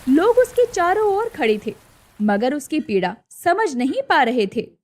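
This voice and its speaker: A woman's voice: sits high, sing-song, unhurried. A woman narrating a scripted story in a dramatic storytelling style.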